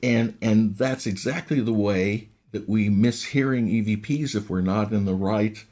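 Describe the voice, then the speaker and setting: A man in his sixties with a raspy voice, a guest in a casual interview who explains things at length and often restarts his sentences. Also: on the low side, a little slow, a little mellow. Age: 60s. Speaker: guest, ghost hunter and recording engineer.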